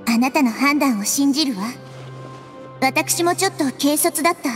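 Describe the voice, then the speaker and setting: A woman voicing a small, childlike goddess in a video game, wise and gentle, explaining things calmly and thoughtfully. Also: sits high, moderate pace, soft background music. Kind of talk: video game character acting.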